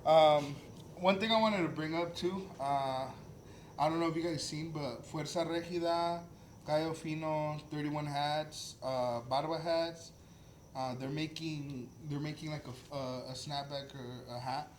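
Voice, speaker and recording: A man's voice: fairly high pitch, steady tone, a little slow. A man in his early twenties co-hosting a casual podcast, informal and sweary, feeling his way through his sentences. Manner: informal, swearing, hesitant phrasing. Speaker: podcast host. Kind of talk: casual group podcast chat. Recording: echoey room, faint background noise.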